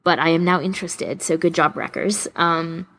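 A woman's voice: lowish voice, fairly even intonation, moderate pace, a bit bright. A woman co-hosting a podcast, chatting casually and informally with emphatic opinions. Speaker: podcast co-host. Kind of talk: casual fan chat.

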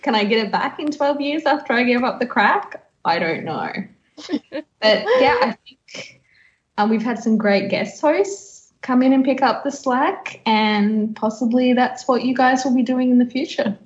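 A woman's voice: on the high side, lively intonation, measured pace. A woman co-hosting a podcast in casual chat, joking and self-deprecating, on a dull recording with room echo. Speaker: podcast co-host. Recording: roomy echo, dull lo-fi sound.

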